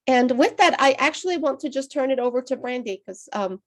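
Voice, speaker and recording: Woman webinar host, college counselor; relaxed, stop-start delivery, slightly high voice. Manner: casual, halting, many fillers. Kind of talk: webinar welcome.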